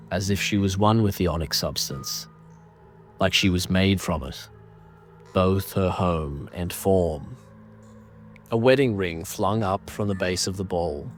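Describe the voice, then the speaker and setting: A low-pitched man delivers scripted horror narration. His manner is grave and deliberate, shaping ornate prose dramatically, with faint music underneath.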